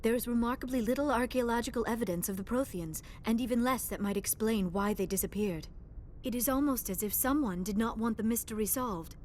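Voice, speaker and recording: A woman's voice: on the high side, a little fast, darkish tone, a little hiss or hum, lightly acted. A woman voicing an earnest, polite young alien scholar in a video game, speaking in a precise, formal way. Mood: earnest, intent. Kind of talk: video game dialogue acting.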